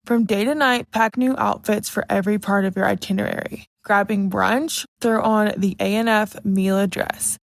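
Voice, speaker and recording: A woman's voice: medium pitch, fairly even intonation, normal speed, creaky, hardly any pauses, no echo. A woman announcer reading a scripted fashion advertisement.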